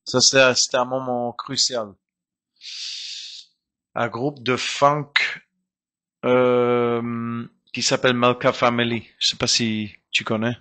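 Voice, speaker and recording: Slow, bright-voiced man. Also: medium pitch, little pitch movement. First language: German. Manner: hesitant, searching for words with many restarts. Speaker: guest musician. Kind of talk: casual interview talk.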